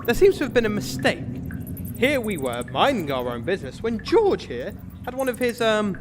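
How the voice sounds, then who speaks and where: Casting: male actor as self-important, foolhardy knight, hugely animated pitch, grandiose indignation, noisy recording. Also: normal speed. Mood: nervously ingratiating. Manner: grandiose, indignant, mock-heroic. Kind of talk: theatrical comedy sketch acting.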